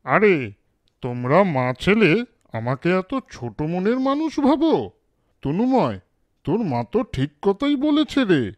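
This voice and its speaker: A man's voice: high voice, very animated, takes it slowly, pauses often, no echo, exaggerated voice. A man voicing a principled, kindly father who instructs emphatically in a children's moral cartoon. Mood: earnest advising. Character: principled, kindly father lecturing his son.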